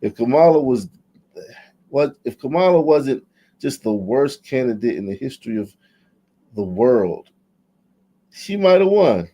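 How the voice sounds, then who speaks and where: A man's voice: mid-range voice, big pitch swings, a little slow, long pauses, warm tone. A man giving blunt, opinionated commentary in a casual chat, often restarting his sentences.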